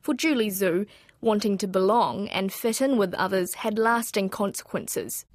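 A woman's voice: mid-range voice, normal speed, dry recording, studio quality. Kiwi woman hosting a news podcast. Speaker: podcast host. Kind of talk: scripted narration and interviewing.

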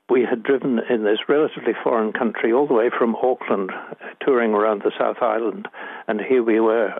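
Older Scottish-accented man, gravelly and husky. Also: on the low side, dry recording, phone-quality sound. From Northern Ireland. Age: 80s. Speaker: poet. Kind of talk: poetry reading and interview.

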